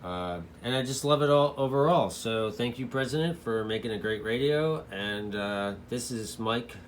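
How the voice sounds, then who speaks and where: A man's voice: mid-range voice, lively intonation, normal speed, a little hiss or hum. A man giving a casual, loosely explained product walkthrough as a radio hobbyist reviewer, in an informal, rambling way.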